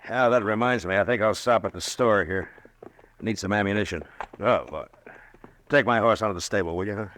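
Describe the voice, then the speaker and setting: An actor playing a calm, laconic frontier marshal with dry humor in a 1950s radio drama. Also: male voice, fairly low pitch, a little fast, slight background noise, natural voice.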